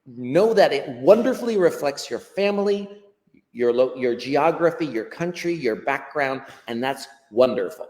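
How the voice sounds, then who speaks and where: Lively Western American man, echoing hall. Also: medium pitch, normal speed. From western United States. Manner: earnest, emphatic with frequent restarts. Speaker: guest, language teacher. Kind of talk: live-stream interview. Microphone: webcam on a video call. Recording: long hall-like reverb.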